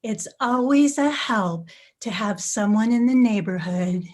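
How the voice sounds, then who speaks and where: A woman's voice: on the high side, lively intonation, a little slow, a little mellow. A woman playing a chatty, suspicious but hospitable suburban housewife in a staged play reading. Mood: curious. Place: video call.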